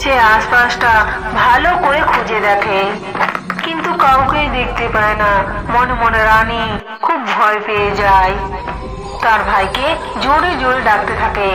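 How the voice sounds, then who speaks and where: A woman's voice: fairly high pitch, a little slow, long pauses. A woman narrating a scripted cartoon horror story as the storyteller. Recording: loud noisy background ambience.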